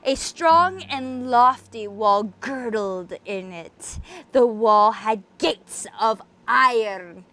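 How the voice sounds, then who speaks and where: A woman's voice: fairly high pitch, drawn-out words, sharp tone. A woman at home who chats casually and gives theatrical, dramatic readings of spooky stories as an amateur host. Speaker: host and amateur story reader. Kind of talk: casual chat, then dramatic reading of a gothic horror story. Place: home workspace.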